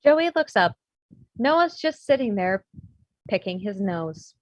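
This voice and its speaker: Lively woman reading stage directions, dull audio. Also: medium pitch, slow pace, no room sound. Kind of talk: scripted narration. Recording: dull, lo-fi video call sound.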